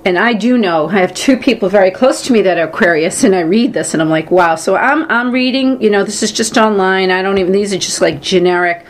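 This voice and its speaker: A woman's voice: medium pitch, fairly quick, hardly any pauses. A woman from Boston with a Boston accent, co-hosting a podcast in casual chat and eagerly agreeing with her partner. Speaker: podcast co-host.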